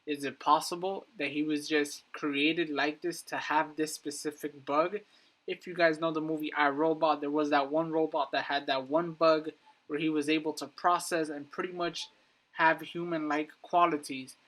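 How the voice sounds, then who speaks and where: A man's voice: on the high side, flat delivery, normal speed, a bit bright, rough recording. A man giving casual, emphatic commentary on video game lore, addressing his viewers directly. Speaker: YouTube gaming content creator.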